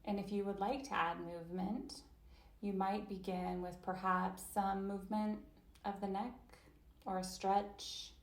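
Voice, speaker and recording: A woman voicing an interpreted guided chair yoga session, speaking slowly and gently, with long pauses and soft invitations. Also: mid-range voice, flat delivery, roomy sound.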